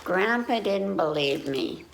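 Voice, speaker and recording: A woman's voice: medium pitch, animated, slow pace, gravelly. A woman leading a story time for young children, reading aloud in simple child-directed speech and putting questions to her listeners. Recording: roomy echo, faint background noise.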